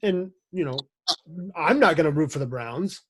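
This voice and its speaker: Man from Ohio, podcast co-host, slightly high voice, easygoing questions. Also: animated, moderate pace, breathy edge, poor audio. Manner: easygoing, asks the guest questions. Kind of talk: casual podcast chat.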